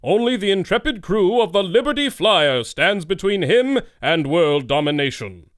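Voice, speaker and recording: An actor playing a dramatic, pompous announcer of an old-time radio adventure serial. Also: male voice, sits high, big pitch swings, normal speed, fairly bright tone. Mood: grandiose, stirring. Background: music.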